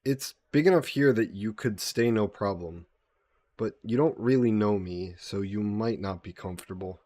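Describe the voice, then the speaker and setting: A man playing a gentle, guilt-burdened vampire caretaker in an intimate audio roleplay, speaking politely and formally with some hesitation. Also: on the low side, normal speed, natural voice. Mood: gentle, reassuring. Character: gentle, guilt-burdened male vampire employer caring for a guest.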